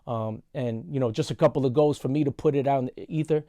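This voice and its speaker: A man's voice: medium pitch, fast talker, no room sound. A man, talking casually in a vlog monologue and often saying "y'all". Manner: relaxed, conversational, says "y'all" often. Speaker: podcast host and referee.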